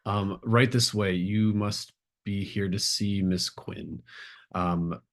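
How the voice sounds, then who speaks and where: Man playing a flustered, low-voiced institute clerk. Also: steady tone. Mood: nervous, officious. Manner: halting, apologetic, self-correcting. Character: flustered, hesitant male institute clerk, stammering and officious.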